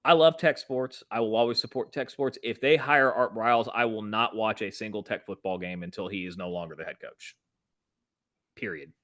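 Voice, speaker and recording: A man's voice: lowish voice, moderate pace, fairly bright tone. A man co-hosting a sports podcast, talking casually in a blunt, emphatic, exasperated way. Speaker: podcast co-host.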